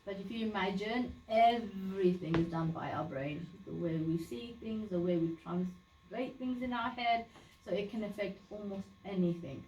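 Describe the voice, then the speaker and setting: A woman's voice: mid-range voice, normal speed, a little mellow, dull audio, slight background noise. A woman whose first language is Sylheti, giving an informal talk to a community group and explaining things plainly with lots of fillers and restarts. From Bangladesh. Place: community meeting room. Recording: echoey room, voice from a distance.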